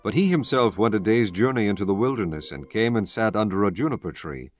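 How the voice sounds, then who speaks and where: Casting: man with a fairly low voice, solemn, measured scripted Bible narration over faint music. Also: animated. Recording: music bed under the voice. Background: background music.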